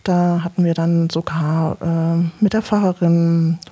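A German woman from Leipzig, a painter and performance artist, talking about her life in a relaxed interview, with lots of fillers and restarts. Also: lowish voice, unhurried. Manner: talkative, many fillers and restarts, laughs often. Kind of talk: interview, personal background.

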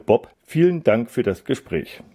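Male radio host and interviewer, scripted and measured delivery, relaxed warm timbre. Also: mid-range voice, husky. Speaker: radio magazine presenter and interviewer.

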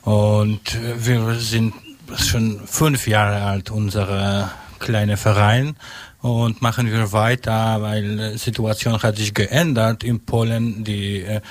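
A man, an activist speaking halting, language with broken grammar. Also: fairly low pitch, level tone. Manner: halting non-native speech with broken grammar. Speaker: member of a small refugee aid group.

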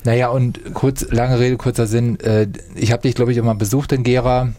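A man's voice: medium pitch, level tone, normal speed, warm tone, dry recording. A middle-aged man from Saxony-Anhalt, a radio host telling old stories in casual chat and stepping in to correct his friend. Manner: storytelling, interrupts to correct. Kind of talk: reminiscing chat.

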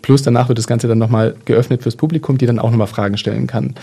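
A man's voice: medium pitch, steady tone, fast, gravelly, mellow, husky. A man in a casual discussion, talking in a thoughtful, hesitant way with many fillers and restarts.

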